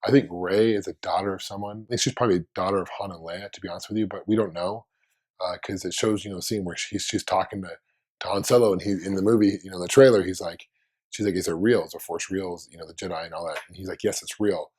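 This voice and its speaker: A man hosts his own fan video while under the weather, his voice hoarse and husky and pitched very low. He rambles casually through his thoughts, often restarting sentences mid-way. The delivery is loose and conversational. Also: steady tone, fast, darkish tone. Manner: rambling, thinking aloud with many restarts.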